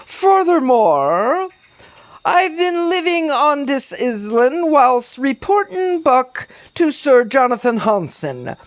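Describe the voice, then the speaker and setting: Lady doing a pompous rambling british castaway guy for a story, way over the top, high and swoopy, lectures like he's on stage. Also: very animated, slow, no echo, heavily acted. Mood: pompous, theatrical.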